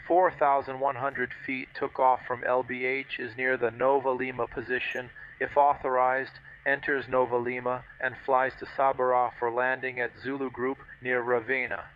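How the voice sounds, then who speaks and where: A man's voice: mid-range voice, flat delivery, normal speed, few pauses, clean tone, highs cut off. A man speaking as a pilot, making position reports and radio calls over an aviation radio.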